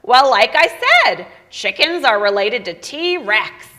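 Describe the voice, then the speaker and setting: A woman's voice: a little high, big pitch swings, takes it slowly, sharp tone, heavily acted. A woman voicing a chatty little chicken puppet who lectures with a clucking, know-it-all manner.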